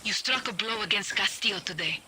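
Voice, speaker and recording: A woman voicing a composed rebel leader who gives calm orders and encouragement. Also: mid-range voice, a little fast, background noise, lightly acted. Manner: calm, warm encouragement, commanding.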